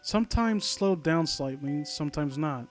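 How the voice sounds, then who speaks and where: Higher-voiced sarcastic man over game music. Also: expressive, moderate pace. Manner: sarcastic, exasperated, casually profane. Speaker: host reading and critiquing an online horror story. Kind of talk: reading a story aloud with off-the-cuff mocking commentary. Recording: video game music playing behind. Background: glockenspiel-like video game music.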